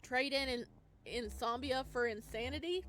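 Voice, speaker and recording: A woman reading a visual novel aloud as a player, in expressive, theatrical narration over music. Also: high voice, moderate pace. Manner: expressive, theatrical reading with stresses and hushed passages.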